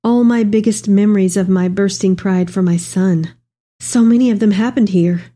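Casting: actress for an aged mother of the Messiah in audio drama, soft dark tone with a husky grain, tender, reflective monologue. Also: medium pitch, moderate pace, natural voice. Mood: sorrowful, reflective. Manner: reflective, reverent storytelling. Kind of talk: dramatic monologue reading of a letter.

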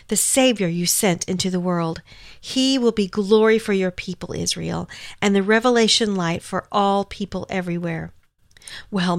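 A woman's voice: mid-range voice, no echo. Woman co-hosting devotional program, close dry sound. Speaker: program co-host. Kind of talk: devotional talk and scripture reading.

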